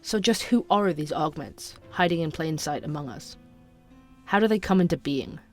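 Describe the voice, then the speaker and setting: An actor playing a young podcaster who narrates urban conspiracies in a measured, inquisitive way for an audio drama. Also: medium pitch, animated, soft background music, lightly acted. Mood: intrigued, serious.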